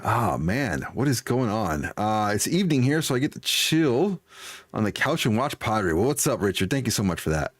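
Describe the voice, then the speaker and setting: Animated man in his forties, livestream host. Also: lowish voice, moderate pace, no room sound. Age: 40s. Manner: chatty, upbeat, folksy exclamations. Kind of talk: casual livestream chat, greeting and answering viewers.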